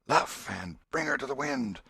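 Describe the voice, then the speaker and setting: Guy doing a bossy kid playing pirate captain, higher voice, very theatrical, audiobook style. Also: huge pitch swings, moderate pace, vocal fry, bright, forceful tone, husky, no room sound, exaggerated voice. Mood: commanding, rousing. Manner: grandly theatrical, commanding. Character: bold adolescent boy playing pirate captain. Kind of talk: audiobook character acting.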